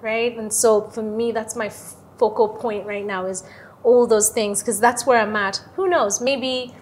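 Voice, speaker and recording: A young woman from Uganda, talking casually as an interview guest in an animated storytelling way, often checking in with "right?". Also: a little high, measured pace, slight background noise. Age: young adult. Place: airy lounge on a sofa, indoors.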